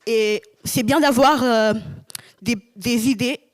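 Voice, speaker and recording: Young woman, student, hesitant, repeats herself, somewhat high pitch. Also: takes it slowly. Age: young adult. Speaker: student prize winner. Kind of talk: spontaneous award speech.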